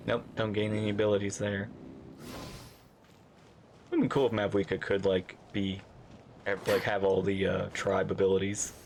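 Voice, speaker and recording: A man's voice: on the low side, level tone, normal speed, creaky, pauses often, slight background noise. A man doing casual gameplay commentary as a streamer, exclamatory and musing aloud, with game music behind him. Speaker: streamer reacting to a video game.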